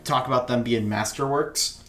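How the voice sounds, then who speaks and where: Young guy hosting a chat podcast, cussing and excitable, lots of echo from an empty room. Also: medium pitch, moderate pace, soft background music. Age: 20s. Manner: swearing, excitable, laughs often. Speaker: podcast host. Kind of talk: casual chat. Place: large empty echoey room.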